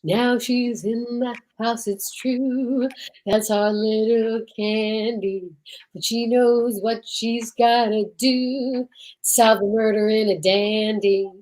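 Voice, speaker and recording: A woman's voice: a little high, steady tone, slow pace, a little mellow. A woman playing a playful commentator who delivers rhyming, singsong interludes in an old-time radio drama. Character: playful singsong commentator cheering on a girl sleuth.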